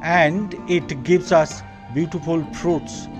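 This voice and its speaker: An older Bengali-accented man talks outdoors on a rooftop into a phone held at arm's length. His voice sits a little high for a man, and his phrasing is earnest and repetitive. Soft music plays faintly behind him. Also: steady tone, takes it slowly. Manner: earnest, repetitive phrasing, addresses viewers as dear friends. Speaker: gardening channel host. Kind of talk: casual gardening vlog tour.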